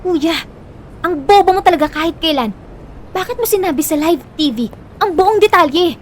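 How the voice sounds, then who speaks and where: A woman's voice: very high voice, expressive, normal speed, a little hiss or hum. A woman with a Filipino accent playing a bossy, money-minded younger sister in an audio drama, blunt and sassy as she bickers. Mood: scolding.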